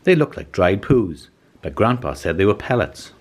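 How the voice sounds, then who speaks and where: A man reading a children's story aloud as scripted narration, in an expressive storytelling manner. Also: fairly low pitch, normal speed. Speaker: storybook reader.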